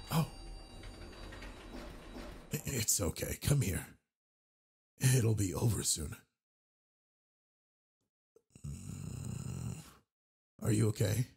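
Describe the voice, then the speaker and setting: A man playing a big, gentle, flirty werewolf pack alpha in a romantic audio roleplay, husky and gravelly, talking in a soft, teasing way. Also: fairly low pitch, exaggerated voice. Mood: reassuring.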